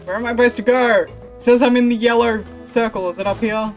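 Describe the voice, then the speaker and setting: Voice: mid-range voice, fairly even intonation, fast talker, faint music behind. A game livestreamer giving casual commentary in a muttering, self-questioning manner.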